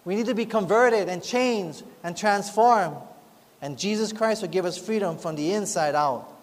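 A man's voice: high-pitched, normal speed. A man preaching a sermon as pastor, often asking the congregation whether they agree. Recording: long hall reverb.

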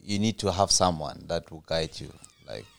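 A man from Uganda answers interview questions softly and hesitantly. His voice is very deep and gravelly, with a lot of fry. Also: normal speed. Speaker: interview guest.

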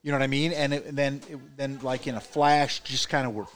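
Indiana guy on a podcast, rambly storyteller, keeps saying you know what i mean, average pitch. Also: steady tone, fairly quick, a bit bright. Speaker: podcast co-host. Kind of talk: casual chat, storytelling.

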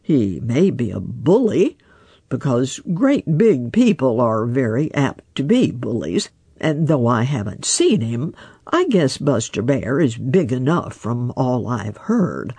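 A wise old frog, voiced by a storybook reader, mild and unhurried, drawing the listener along with calm questions. The voice sits somewhat above the reader's own and moves slowly. Gravelly and close, with a dry sound. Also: medium pitch, dry recording, full character voice.

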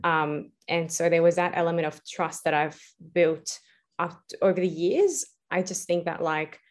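Young adult woman, lowish voice, interview guest, reflective and full of fillers. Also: moderate pace. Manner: reflective, many fillers and restarts. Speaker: guest, entrepreneur. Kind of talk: casual interview answers.

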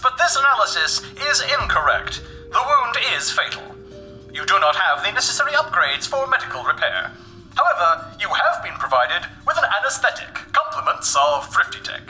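High, piercingly bright suit computer. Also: male voice, wildly swooping pitch, normal speed, soft background music, full character voice, low-quality recording. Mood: cheerfully officious. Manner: polite, cheerfully tactless, clipped. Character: chirpy, officious talking spacesuit computer. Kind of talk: sci-fi audio drama acting.

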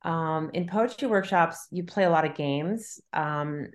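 A woman's voice: sits low, unhurried. A middle-aged woman talking casually as an interviewed novelist, thinking aloud in loose, looping sentences. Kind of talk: casual conversational interview about writing.

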